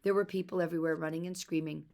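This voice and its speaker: A woman reads to children with expressive storytelling. Her voice sits on the low side, with a noticeable fry in it. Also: racing. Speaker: storybook reader.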